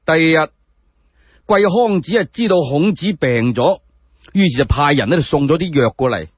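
A man telling a story in Cantonese as a one-man radio drama, in expressive colloquial narration. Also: a little high, moderate pace, slightly rough, no room sound, lo-fi sound.

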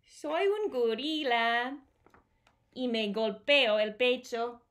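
Woman in her thirties, children's storytime reader, higher pitch, very unhurried pace, animated, simple repetitive phrasing, home room recording. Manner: animated, simple repeated phrases, switches between Spanish and English. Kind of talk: singing and reading aloud to young children. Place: small home room with bookshelves.

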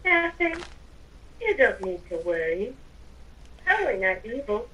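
A sly evil overlord, acted by a woman in a game roleplay, coaxing with theatrical sweetness. The voice is high and bright, heard away from the mic with faint noise. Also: very animated, a little slow, full character voice. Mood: sly, tempting. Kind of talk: improvised Minecraft roleplay. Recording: noisy, distant mic with game ambience.

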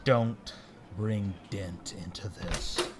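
A man's voice: fairly low pitch, very slow, very noisy. A man voicing an earnest, firm middle-aged police commissioner in a comic fan dub, restrained and serious. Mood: tense, firm.

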